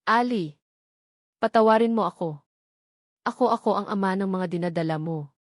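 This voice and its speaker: Remorseful young man in a narrated love story played by a woman, halting, pleading, dry sound. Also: medium pitch, dry recording, natural voice. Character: remorseful young man confessing to his best friend.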